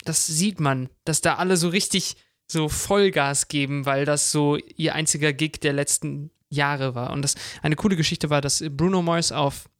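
A man's voice: fairly high pitch, steady tone, moderate pace, no room sound, studio quality. A man co-hosting a podcast in casual, enthusiastic chat, mixing English words into his informal speech. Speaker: podcast co-host.

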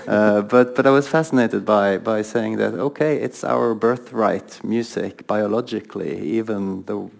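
Gravelly low-voiced male interviewer. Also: fairly even intonation, measured pace, few pauses. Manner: hesitant, many fillers, interested. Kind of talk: interview questions.

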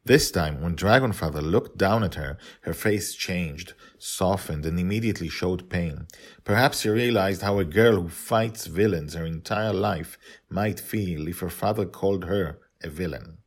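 A man with a low voice acts as host and narrator of a serialized tale. He delivers it as storytelling, in the manner of an author reading his own work. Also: unhurried, husky.

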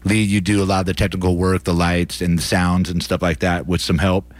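A man with a low voice interviews guests for radio. His questions are informal and conversational. The sound is dry, with no audible echo. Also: flat delivery, a little fast.

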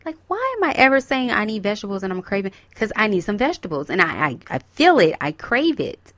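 A woman's voice: mid-range voice, sing-song, fast talker, a bit bright, dry recording. A young woman getting over a cold, talking casually and encouragingly straight to her listeners as a host. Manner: encouraging, chatty, addresses listeners directly. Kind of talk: casual self-help talk.

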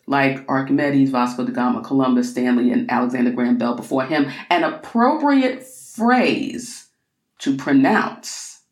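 Slow, deliberate woman reading in a roomy space. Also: low-pitched, darkish tone. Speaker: reader of a book excerpt. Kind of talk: literary reading of scholarly prose with quotations.

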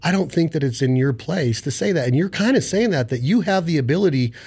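A man's voice: fairly high pitch, lively intonation, fairly quick, a little mellow, breathy edge. A man hosting a podcast interview, asking long rambling questions with enthusiasm. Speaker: podcast host.

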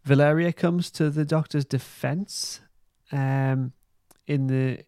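A male podcast host with a mid-pitched, gravelly voice. He recaps a story casually and haltingly, feeling his way through sentences, and is recorded close and dry. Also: fairly even intonation, mellow, no room sound. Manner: hesitant, halting, informal. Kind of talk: casual plot recap and review.

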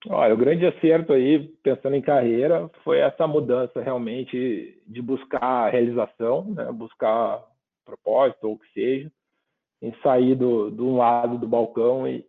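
A man from southern Brazil, giving reflective interview answers and often ending with tag questions. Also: mid-range voice. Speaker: guest. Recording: phone-like narrow line.

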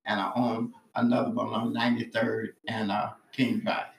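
A man in his late seventies recalling his past in a casual family interview, halting and searching for details. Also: fairly low pitch, level tone, gravelly. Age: 70s. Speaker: interviewed relative. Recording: roomy, faint background noise.

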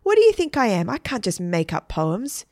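A woman's voice: mid-range voice, wildly swooping pitch, normal speed, a little mellow, dry recording, studio quality, voice pushed up. A woman voicing an intense young schoolgirl poet in a story, dramatic, easily offended and vengeful. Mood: indignant. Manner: dramatic, indignant.

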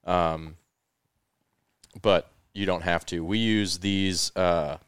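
Male podcast host, low and smooth, laid-back and chatty, recorded close and dry. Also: slow pace, no room sound. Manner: relaxed, rambling, many fillers. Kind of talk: casual explanatory gear talk.

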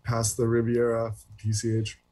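A man's voice: medium pitch, completely flat delivery, normal speed, a little mellow. A man in his twenties with a Californian accent, a podcast guest chatting casually in an easygoing, slangy way. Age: 20s. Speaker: podcast guest, professional beach volleyball player. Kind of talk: casual chat.

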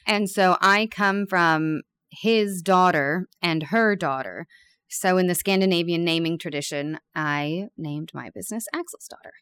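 A woman's voice: fairly low pitch, measured pace, fairly bright tone, clear, resonant tone. A woman in her thirties, a bakery owner, talking openly and candidly as an interview guest with some self-deprecating humor. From born in Peru, raised abroad. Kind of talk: casual interview chat.

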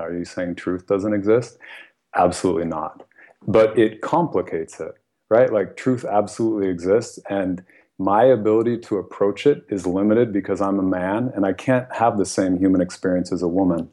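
A man from the United States speaks in a very low, warm and mellow voice. He talks earnestly and thoughtfully, with frequent soft laughs and plenty of "you know". It sounds like a relaxed podcast conversation. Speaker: guest author.

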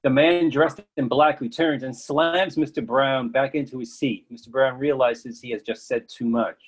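Male narrator, mid-range pitch, reads stage directions from a script. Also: steady tone, moderate pace, slightly rough.

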